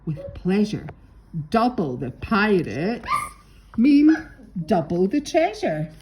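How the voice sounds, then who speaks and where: A woman voicing a bold, boastful pirate in disguise, loud and hearty, in a picture-book reading. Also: mid-range voice, very animated, slow, noisy background. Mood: amused, agreeable.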